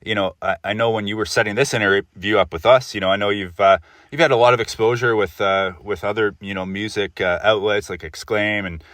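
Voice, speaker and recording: A man hosting a casual podcast interview, talking haltingly, repeating words and often saying "you know". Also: fairly low pitch, steady tone, fairly bright tone, no room sound, studio quality, far from the mic.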